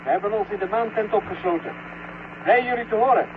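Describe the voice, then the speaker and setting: Worn out stranded spaceman on crackly radio link, high anxious male voice, old radio drama. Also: fast, narrow, tinny audio. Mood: relieved, weary. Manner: anxious, earnest. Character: weary stranded male astronaut sheltering on the moon. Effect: radio-link filter. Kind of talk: 1970 Dutch radio drama acting. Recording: thin, noisy radio-link sound.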